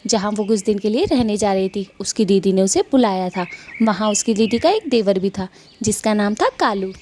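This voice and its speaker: A woman's voice: medium pitch, lively intonation, moderate pace, mellow, slight background noise. A woman telling a scripted cartoon story as its narrator.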